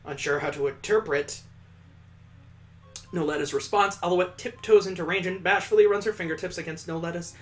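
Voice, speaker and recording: Male game streamer, fairly high voice, expressive story reading with wry asides. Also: brisk. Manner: theatrical, breaks off for wry asides. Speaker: video game let's play host. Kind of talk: expressive read-aloud of a visual novel with asides.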